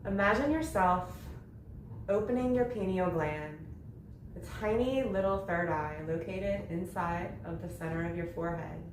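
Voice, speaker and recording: A woman leading a guided yoga meditation, speaking gently in short, unhurried phrases with long pauses between them. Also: fairly low pitch. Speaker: yoga nidra meditation instructor. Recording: roomy echo with faint background noise.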